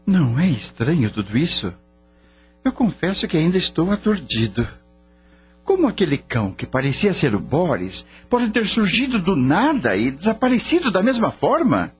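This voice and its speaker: Caring young male vet, very expressive actor. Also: on the high side, normal speed, slightly rough, heavily acted. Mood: bewildered. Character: caring young veterinarian devoted to his dog. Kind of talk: radio drama acting. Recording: dull lo-fi radio drama with music.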